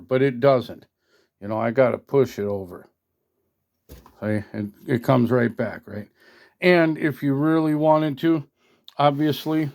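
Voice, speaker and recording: A man's voice: mid-range voice, darkish tone. A man giving a casual hands-on product demo in a folksy, colloquial, mildly salty way, recorded on a handheld phone at a workbench. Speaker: product reviewer, radio hobbyist. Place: at a workbench indoors.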